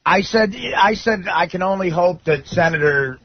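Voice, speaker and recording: A man's voice: high-pitched, moderate pace, no echo. A man chiming in on a radio show as a joking sidekick, in casual bursts. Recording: dull, band-limited radio broadcast.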